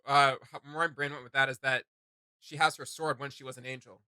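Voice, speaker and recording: A man co-hosting a reaction video, talking in a casual, animated, rambling and playfully emphatic way. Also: mid-range voice, a little fast, clear, resonant tone, no echo. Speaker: co-host of a reaction video channel. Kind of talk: casual chatty reaction commentary.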